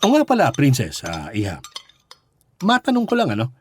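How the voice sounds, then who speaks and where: An actor playing a middle-aged, chatty, playful Filipino father who talks haltingly and repeats himself in a radio drama. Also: mid-range voice, slight background noise. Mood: jovial, curious. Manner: halting, repetitive, animated teasing. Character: curious, chatty, playful father meeting son's girlfriend.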